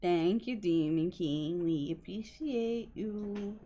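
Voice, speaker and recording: A woman's voice: lowish voice, takes it slowly, mellow, slight background noise. A woman livestreamer chatting casually with her co-host and viewers, explaining things politely. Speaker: game livestreamer. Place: indoor streaming setup at a computer.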